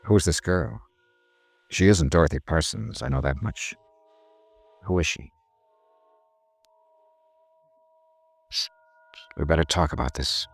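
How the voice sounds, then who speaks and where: Hard-boiled male investigator, deep voice, clipped dry delivery, cool and probing, 1950s radio noir. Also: moderate pace. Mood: quietly pressing. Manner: clipped, dry, matter-of-fact. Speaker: narrator and lead character. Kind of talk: 1950s radio detective drama acting.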